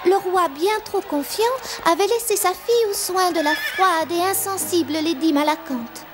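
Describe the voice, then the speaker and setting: A woman playing a gentle, dreamy young schoolgirl in a French cartoon dub, speaking earnestly. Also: extremely high pitch, normal speed. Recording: music playing behind the voice.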